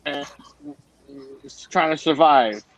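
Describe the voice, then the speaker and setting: A man in his forties in a casual group chat, animated and fond of rhetorical questions. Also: a little high, slow. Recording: dull lo-fi audio.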